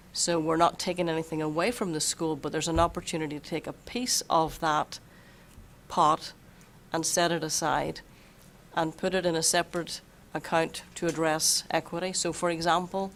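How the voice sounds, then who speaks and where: A superintendent who is losing their voice a bit, explaining a budget at a school board meeting in a measured way and repeating words while thinking. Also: mid-range voice, vocal fry, fairly bright tone, a little hiss or hum. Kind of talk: budget presentation at a public meeting.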